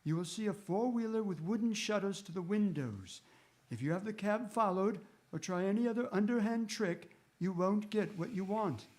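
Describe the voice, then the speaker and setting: An actor playing a loyal gentleman companion in a radio drama, genial and earnest in his storytelling. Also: high-pitched, normal speed, natural voice. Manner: reminiscing, earnest.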